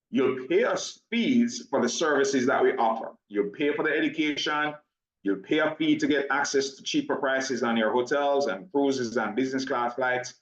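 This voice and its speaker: A middle-aged man with a Jamaican accent giving a measured, emphatic sales presentation on a video call, repeating his key points. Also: medium pitch. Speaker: presenter of a business opportunity. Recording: roomy dull video-call audio.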